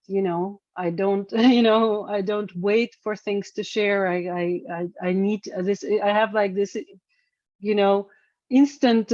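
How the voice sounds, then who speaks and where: A woman's voice: mid-range voice, a little mellow, no room sound. A woman, a singer-songwriter speaking as an interview guest, reflective and searching for her words. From Eastern Europe. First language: Russian. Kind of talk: casual interview talk. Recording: dry, dull lo-fi sound.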